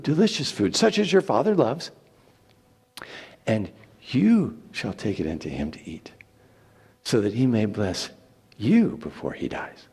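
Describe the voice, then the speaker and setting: A man voicing a scheming, commanding mother in a deliberate, coaxing scripture reading. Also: on the high side, mellow, husky, in a large hall, heavily acted.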